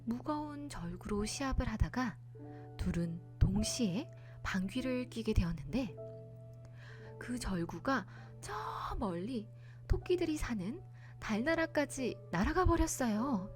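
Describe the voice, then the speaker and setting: A woman narrating a children's bedtime folktale as a storyteller, in a polite, expressive manner. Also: fairly high pitch, measured pace, over music.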